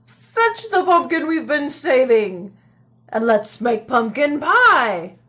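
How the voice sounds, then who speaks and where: Woman putting on a croaky, cranky ancient man. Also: high-pitched, sing-song, takes it slowly, a bit bright, exaggerated voice. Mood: eager. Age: very old. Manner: hollering, indignant, exclaiming. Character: cranky, bossy eight-hundred-year-old man, hungry for pie.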